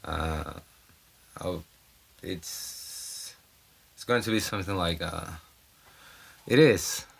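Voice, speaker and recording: A Brazilian man hosting a casual solo podcast, rambling and halting with many fillers, recorded on a cell phone at an off-grid home in the mountains. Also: low voice, vocal fry, husky. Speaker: podcast host.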